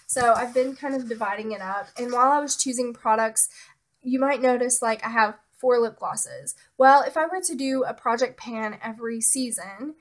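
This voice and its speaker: Chatty young woman, slightly stuffy. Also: on the high side. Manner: relaxed, hesitant, many fillers and trailing asides. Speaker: beauty vlogger. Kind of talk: casual product talk to camera.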